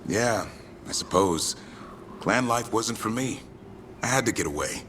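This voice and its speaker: An actor playing a proud, adventurous elf monster hunter in a video game, talking in short, clipped sentences. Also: male voice, lowish voice, animated, a little slow, fairly bright tone, a little hiss or hum. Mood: reflective. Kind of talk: video game dialogue acting.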